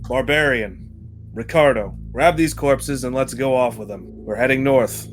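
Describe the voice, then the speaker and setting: A terse frontier soldier played by a man in a tabletop role-playing game. He speaks formally and with command, in a mid-pitched voice over faint music. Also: moderate pace, close to an everyday voice. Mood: commanding, steady.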